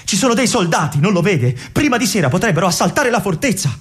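An actor playing a restless young army lieutenant in a theatrical monologue, with an intense, halting delivery that moves between hushed and urgent. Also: male voice, sits high, sing-song, breathy edge, exaggerated voice. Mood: anxious, urgent. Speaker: stage actor performing a monologue.

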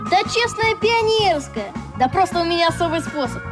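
A woman's voice: very high-pitched, expressive, moderate pace, over music. A woman playing an earnest, stubborn schoolboy in a radio play, frank and a little cheeky. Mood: playful, defiant. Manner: boyish, blunt, stubborn.

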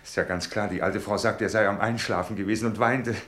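An actor in a German radio play voicing an anxious, tender middle-aged father, speaking in a halting, earnest, pensive way. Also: on the low side, steady tone, fairly bright tone, echoey room, natural voice. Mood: relieved, excited.

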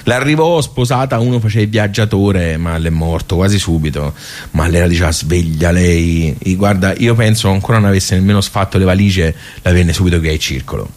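Male actor as garrulous old village club storyteller, lowish pitch, Tuscan Italian accent, halting, repetitive, colloquial, radio theatre monologue. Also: a little mellow, lightly acted. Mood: fond recollection. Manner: rambling, halting, repeating himself, colloquial. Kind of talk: theatrical vernacular monologue told as casual bar storytelling.

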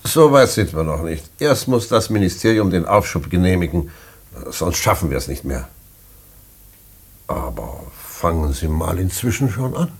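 An actor playing a stern prison director in a German radio play, officious, curt and skeptical, with formal bureaucratic phrasing and a husky voice. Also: male voice, low-pitched, big pitch swings, moderate pace, exaggerated voice. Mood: brisk, commanding.

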